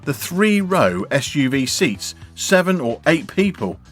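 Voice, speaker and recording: A man narrating a scripted video in an even, read-out delivery. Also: a little high, unhurried, breathy edge. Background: faint background music.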